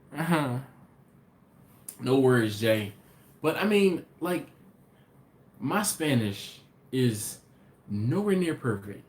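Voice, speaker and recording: A man's voice: on the high side, very animated, very slow, long pauses. A man hosting a casual live stream, reading viewer comments aloud and rambling with frequent restarts. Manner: rambling, talks to viewers directly, restarts often. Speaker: barbecue channel host. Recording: roomy echo, faint ambient noise.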